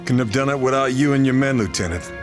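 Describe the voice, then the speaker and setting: An actor playing a stoic, dry-witted wartime sniper in a game, laconic and deadpan. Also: male voice, medium pitch, fast talker, over music, close to an everyday voice. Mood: dry, matter-of-fact. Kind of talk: game cutscene acting.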